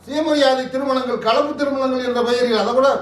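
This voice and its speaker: A man with a Tamil Nadu accent giving impassioned political commentary in an interview, forceful and oratorical, full of rhetorical questions. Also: very high voice, fairly even intonation, a little fast. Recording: echoey room, distant microphone.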